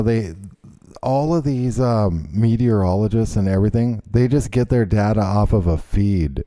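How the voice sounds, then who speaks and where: Male podcast host, low mellow voice, relaxed and agreeable. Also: no echo. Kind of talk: casual podcast chat.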